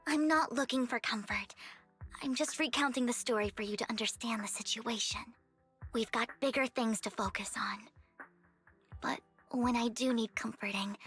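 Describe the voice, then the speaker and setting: Bright-voiced, earnest young game heroine. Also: female voice, fairly high pitch. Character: earnest young woman, troubled past, formal and devoted. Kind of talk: video game voice acting.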